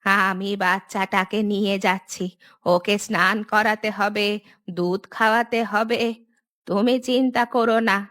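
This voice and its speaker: A woman's voice: mid-range voice, steady tone, a little slow, fairly bright tone, natural voice. A woman playing an older village aunt in a cartoon, dramatic and declamatory, full of lament. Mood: resigned, firm. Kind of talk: Bengali cartoon dubbing.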